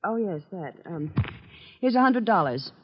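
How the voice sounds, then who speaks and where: Scheming femme fatale played by an actress, expressive. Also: female voice, mid-range voice, a little slow, slight background noise, lightly acted. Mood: coy, cool. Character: scheming, seductive wife plotting her husband's murder. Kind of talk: 1940s-50s radio drama acting. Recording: muffled old radio recording.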